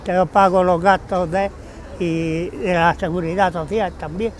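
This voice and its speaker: High-voiced older man, aggrieved. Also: fairly even intonation, measured pace, slight background noise. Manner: aggrieved complaining. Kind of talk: street interview.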